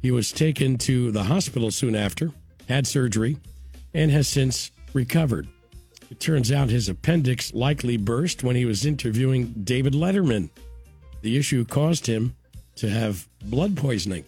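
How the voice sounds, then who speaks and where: A man's voice: medium pitch, lively intonation, a little mellow, slightly rough, faint music behind. A man hosting a morning radio show, reading news items and chatting, his voice still recovering from being sick. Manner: brisk news read with fillers in chat. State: voice recovering after illness.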